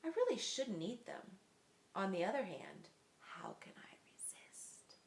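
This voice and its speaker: Woman acting male fox, sly, hungry, whimpering, drawn-out words, storybook reading, roomy echo. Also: mid-range voice, wildly swooping pitch, gravelly, darkish tone, in a whisper, full character voice. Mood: sly scheming.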